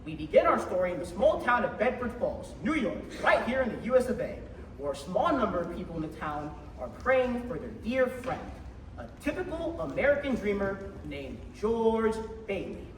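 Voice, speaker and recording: A woman's voice: medium pitch, very animated, moderate pace. A woman acting as host and narrator of an old-time radio show. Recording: echoey stage from far off, noisy.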